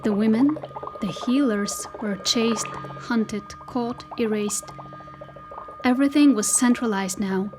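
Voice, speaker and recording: A woman narrates a literary audio drama in a fairly high voice. Her phrasing is measured and deliberate, and faint music plays behind her.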